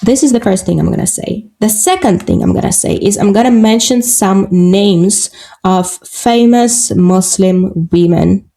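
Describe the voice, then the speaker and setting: Slow, gravelly woman with Russian accent. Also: medium pitch, dark tone. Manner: halting, searching for English words, many fillers. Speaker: podcast guest. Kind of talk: casual interview talk.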